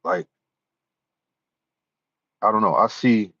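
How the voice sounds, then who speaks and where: Low-voiced man rambling to camera. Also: slow, sharp tone, no echo. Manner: rambling, repeats himself, many "you know"s. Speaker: YouTuber. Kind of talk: casual talk to camera. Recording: dull lo-fi sound.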